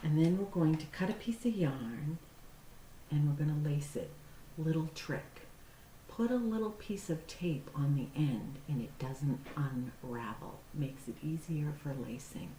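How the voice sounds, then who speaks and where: A woman hosting storytime for young children, speaking in simple, deliberate phrases as she reads aloud or explains a craft. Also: deep voice, expressive, warm tone. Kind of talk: picture-book read-aloud and craft demonstration for young children. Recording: roomy echo with faint background noise.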